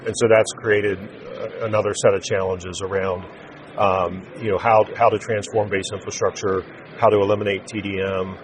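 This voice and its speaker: A man giving measured, unscripted corporate explanations as an interview guest. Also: fairly low pitch, level tone, a little hiss or hum, poor audio. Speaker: interview guest, telecom sales executive. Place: busy naval trade show event.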